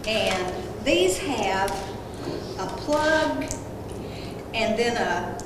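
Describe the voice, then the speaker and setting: A woman walks through a holiday craft step by step, folksy and instructive, her talk unhurried. She sounds set back from the mic in a very echoey room with long reverb and loud background noise. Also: on the high side, expressive, far from the mic. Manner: plain-spoken, instructive, folksy. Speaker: craft demonstrator. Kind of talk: casual how-to demonstration. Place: senior center room.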